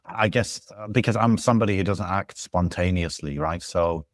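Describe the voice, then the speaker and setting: A man with a low voice talks casually on a podcast, working through his thoughts in halting, restarting phrases. The sound is dry and close. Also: dry recording. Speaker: podcast guest. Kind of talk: casual chat. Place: living in Algiers.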